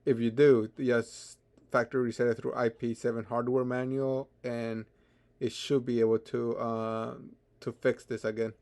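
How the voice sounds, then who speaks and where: A man presents a step-by-step software tutorial without a script. His delivery is hesitant and halting, with repeated words and stammered starts, in a mid-pitched voice. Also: little pitch movement. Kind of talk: unscripted software screen tutorial.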